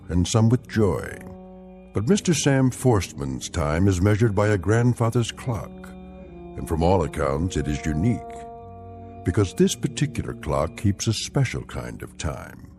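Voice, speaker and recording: An actor playing a portentous narrator of eerie tales in a radio drama, measured and deliberate. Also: male voice, sits low, heavily acted. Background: music.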